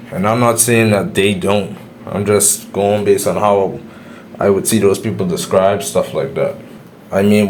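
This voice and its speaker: A man's voice: sits low, slight background noise. A man with a Bahamian accent, giving his own views in a solo video monologue, explaining with some restarts. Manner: opinionated, explanatory, a few restarts. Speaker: astrology YouTuber. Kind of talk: solo opinion monologue to camera.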